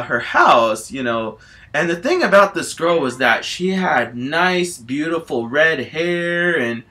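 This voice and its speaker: A man improvising a rambling comic story in an excitable, giggly manner, often falling back on repeated filler phrases. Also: mid-range voice. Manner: excitable, giggly, repetitive filler phrases like "you know" and "all that stuff". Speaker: amateur storyteller in a homemade video.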